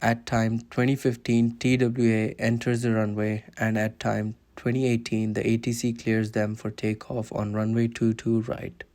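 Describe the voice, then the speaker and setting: A man reads a scripted documentary narration. His voice sits at a medium pitch and moves very little up or down. The delivery is explanatory and orderly. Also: completely flat delivery, moderate pace, few pauses, a little mellow.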